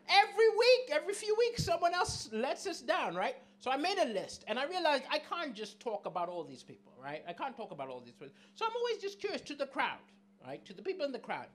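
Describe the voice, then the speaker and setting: A middle-aged man from southern Africa performing stand-up comedy on a small club stage, chatty and animated, questioning the audience into a handheld mic. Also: very high voice. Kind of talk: stand-up comedy set with crowd work.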